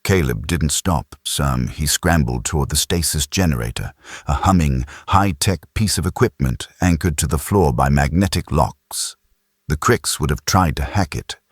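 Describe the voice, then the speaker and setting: Extremely deep, husky male narrator. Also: moderate pace, dry recording, clean studio sound. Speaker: audiobook narrator. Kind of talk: dramatic scripted narration.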